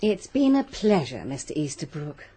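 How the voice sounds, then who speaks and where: A woman's voice: deep voice, sing-song, normal speed, warm tone, husky, exaggerated voice. An actress playing a genteel, guarded stepmother in a radio drama, clipped and coolly disapproving. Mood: guarded, defensive. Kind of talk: 1940s-style British radio drama acting.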